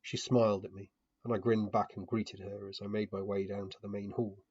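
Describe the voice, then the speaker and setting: A man's voice: fairly low pitch, level tone, a little fast, darkish tone, husky, no echo, dull audio. A man reading scripted first-person fantasy fiction as narrator.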